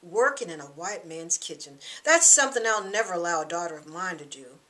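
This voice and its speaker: A woman's voice: fairly low pitch, expressive, brisk, sharp tone, rough recording. A woman voicing a proud, suspicious young man in a novel, speaking firmly and scornfully. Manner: firm, scornful.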